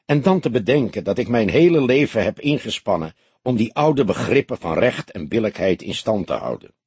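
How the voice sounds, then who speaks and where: A man's voice: on the low side, sing-song, normal speed, slightly rough, no room sound, full character voice. A man voicing a weary old police detective in an audiobook, grave and compelling yet tender. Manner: grave, compelling, gently disillusioned.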